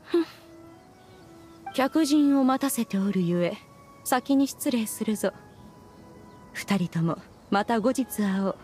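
A woman's voice: a little high, animated, slow pace, pauses often, darkish tone, faint music behind. A woman voicing a proud, ancient immortal in a game, imperious, with archaic regal phrasing. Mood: commanding. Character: proud, ancient immortal adeptus woman, haughty but fond. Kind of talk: dramatic game voice acting.

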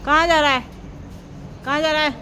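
A woman's voice: high voice, fairly even intonation, fairly quick, sharp tone, low-quality recording. A woman in her thirties, a travel vlogger, recorded outdoors at night on a hand-held phone and talking playfully to a pigeon. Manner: coaxing, playful baby talk to a bird. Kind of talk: casual vlog narration and talking to a pigeon. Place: outdoors at night beside a parked tractor on a bus trip. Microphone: phone camera held by hand.

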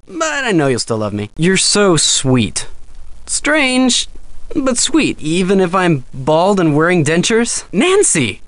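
A man's voice: high-pitched, very animated, slow pace, fairly bright tone, no room sound, full character voice. A young man playing a loyal, teasing boyfriend in a video game. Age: young adult.

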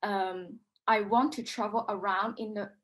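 A woman's voice: mid-range voice, fairly even intonation, measured pace, a bit bright. Young woman on a video call, lots of ums, unsure. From Hong Kong. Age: young adult. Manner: hesitant, many ums. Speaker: intern.

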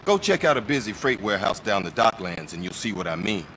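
A crooked middle-aged American policeman in a game cutscene. He is smug and quietly menacing, with a theatrical, lively melody that rises and dips as he taunts. There is some traffic noise behind him. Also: on the low side, fast, low-quality recording. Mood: sly, insinuating. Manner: mock-sincere, drawling taunts, theatrical. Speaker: police officer. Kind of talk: video game cutscene acting.

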